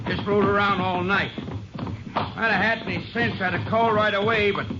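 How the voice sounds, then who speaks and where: A man's voice: high-pitched, steady tone, normal speed, over music, close to an everyday voice. A man playing a folksy country rancher. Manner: folksy drawl, rambling. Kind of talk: 1950s radio drama acting. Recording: hissy lo-fi vintage radio recording.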